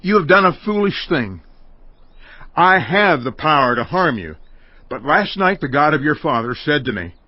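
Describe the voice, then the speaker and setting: Casting: indignant elderly father for scripture drama, animated, declamatory, in a dry booth. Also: on the high side, moderate pace, no echo. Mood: indignant, accusing. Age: older. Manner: loud, accusing, declamatory. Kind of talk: dramatized audiobook acting. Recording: dull, dry studio recording.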